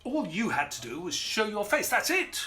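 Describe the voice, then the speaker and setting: An actor playing a polite, earnest detective's companion who narrates an audio drama in a formal, theatrical storytelling manner. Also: male voice, sits high, huge pitch swings, moderate pace, background noise. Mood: exasperated.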